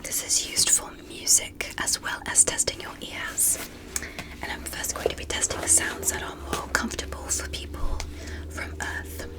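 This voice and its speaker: Woman playing a whispering, gentle alien doctor. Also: natural voice. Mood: hushed, clinical, curious. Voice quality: breathy, unvoiced whisper. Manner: slow, careful, softly reassuring. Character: polite, gentle alien doctor examining a human. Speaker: ASMR roleplay performer. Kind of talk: whispered ASMR medical roleplay.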